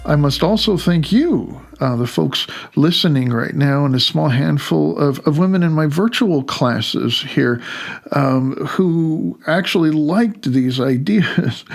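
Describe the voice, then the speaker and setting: Author reading his own audiobook, gravelly, slightly high, halting, soft music under. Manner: loose, halting delivery with fillers and chuckles. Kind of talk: reading an audiobook dedication with asides. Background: faint music.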